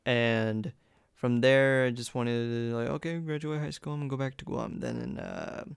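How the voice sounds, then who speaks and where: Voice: mid-range voice, expressive, normal speed, creaky, clean tone, no room sound, clean studio sound. A speaker in their early twenties in casual chat, informal and prone to swearing.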